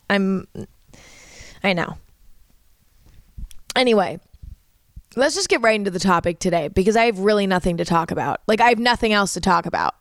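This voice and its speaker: A woman's voice: mid-range voice, no room sound, studio quality. A young woman, hosting a podcast in rambling casual chat full of "like" and "um". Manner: chatty, rambling, uses "like" and "um" a lot. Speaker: podcast host.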